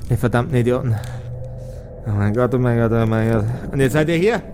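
A man's voice: mid-range voice, a little fast, voice pushed down, lightly acted. Man voicing a flustered, stammering old wizard, background noise. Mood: exasperated. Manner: stammering, flustered, muttering repetitions. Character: nervous, exasperated old male wizard, grumbling and dodging trouble.

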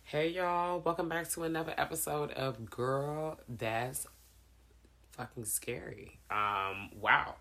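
Laid-back, bright-toned podcast host. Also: medium pitch, slow pace, creaky. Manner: laid-back, swears freely, says y'all. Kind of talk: casual horror podcast chat.

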